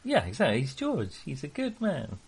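A man with a British accent discussing films casually as a podcast co-host, in an animated, wry, conversational manner. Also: on the high side, normal speed, creaky, slightly rough.

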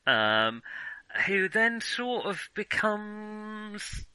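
A man with a very bright, forward voice hosts a casual film discussion. He is hesitant, circling back and rewording as he talks, and the sound is close and dry. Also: high-pitched, sing-song, drawn-out words, clear, resonant tone, no echo, low-quality recording. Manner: hesitant, hedging, often rephrasing mid-thought. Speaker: film podcast host.

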